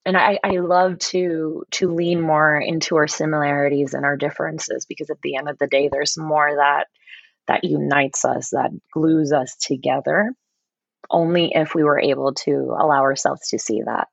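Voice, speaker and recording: A woman's voice: low voice, fairly even intonation, normal speed, vocal fry, no echo, highs cut off. A woman from Puerto Rico, a podcast guest, speaking earnestly and passionately, often repeating words as she starts a thought. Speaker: podcast guest, yoga teacher. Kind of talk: casual podcast conversation.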